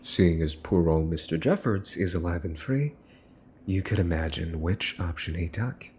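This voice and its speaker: A man's voice: deep voice, moderate pace, a little mellow, a little hiss or hum, close to an everyday voice. A man playing a mafia boss's son in an ASMR roleplay, smug, teasing and menacing, with a mocking theatrical drawl. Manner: mocking, theatrical drawl with mock-cute wording. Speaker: voice actor.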